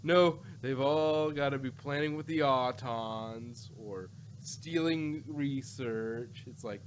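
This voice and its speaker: A man's voice: mid-range voice, slow, a little hiss or hum. A man co-hosting a casual review podcast, chatting unscripted in a rambling, joking way. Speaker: podcast co-host reviewing audio dramas.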